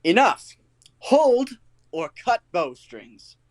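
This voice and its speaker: A man's voice: high-pitched, sing-song, extremely slow, noisy background, full character voice. A man playing a boastful, eager workman and amateur actor, showy and theatrical, in a comic Shakespearean audio play. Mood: hearty.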